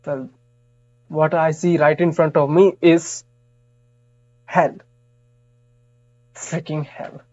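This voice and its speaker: A streamer narrates a visual novel in a medium-pitched voice. The reading is slow, broken up by offhand remarks, and the sound is a little muffled. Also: level tone, a little mellow. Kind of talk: reading game narration aloud with casual asides.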